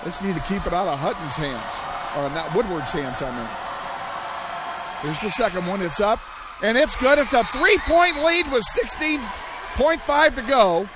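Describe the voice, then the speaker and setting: A man calling a high school basketball game play-by-play, hoarse and losing his voice, shouting the big plays and chatting between them. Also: animated, normal speed. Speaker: play-by-play announcer. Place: high school gym broadcast. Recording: loud gym crowd and noise behind.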